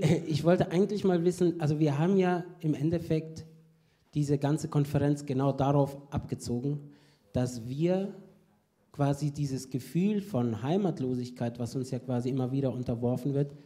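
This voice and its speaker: A man's voice: mid-range voice, dark tone. Rambling man asking from the audience. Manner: rambling, self-correcting, switching languages. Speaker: audience member asking a question. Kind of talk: spontaneous conference question. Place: conference hall.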